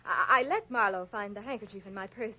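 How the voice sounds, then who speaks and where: A woman's voice: medium pitch, brisk, slightly rough, lightly acted. An actress playing a scheming, manipulative young woman in a 1940s radio drama, with a theatrical, pleading manner. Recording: dull old radio broadcast recording.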